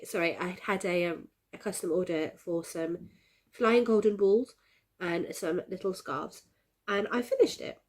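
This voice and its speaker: A woman's voice: medium pitch, a little mellow, husky. A woman with a head cold chatting cheerfully and ramblingly to her viewers in a casual crafting vlog, a little congested and coughy. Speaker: crafting vlogger. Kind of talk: casual chat to camera.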